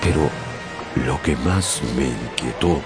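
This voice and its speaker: A man's voice: very deep voice, slow pace. An actor playing a young sailor who narrates his own sea adventure in a dramatized radio story. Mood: intrigued, suspenseful. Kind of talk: dramatized first-person storytelling. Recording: music bed behind narration.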